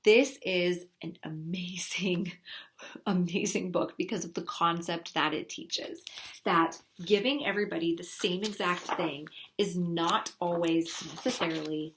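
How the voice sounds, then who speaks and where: Woman recommending books over clear background noise. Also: lowish voice, steady tone, measured pace. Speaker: children's book reviewer. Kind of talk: casual book recommendation, showing pages. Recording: clearly noisy recording with clicks.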